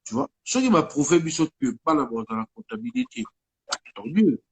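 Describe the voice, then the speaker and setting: A man's voice: a little high, very animated, a little fast, creaky, slightly rough. A man speaking French with a Congolese accent, talking casually as an interview guest while he has a head cold, rambling and mixing in Lingala words. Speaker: guest musician.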